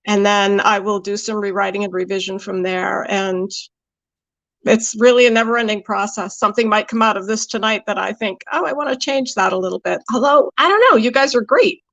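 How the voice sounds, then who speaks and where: A woman's voice: medium pitch, fairly quick, fairly bright tone, no room sound. A woman talking casually and chattily on a video call, answering questions in an informal, conversational way.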